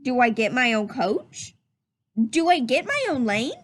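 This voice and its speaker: Woman reading as curious little kid, a boy in a picture book, high voice, earnest questioning lilt. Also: big pitch swings, a little slow, a bit bright, heavily acted. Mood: wondering. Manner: questioning, rising phrasing. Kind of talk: storybook read-aloud.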